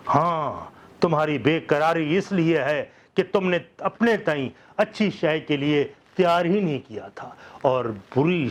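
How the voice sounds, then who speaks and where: Guy with a high voice doing a fiery speech recital, big slow emphasis then quiet dips. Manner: swells loud and slow on key phrases, drops low and quiet after. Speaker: host reciting a historic speech. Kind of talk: impassioned oratorical recitation.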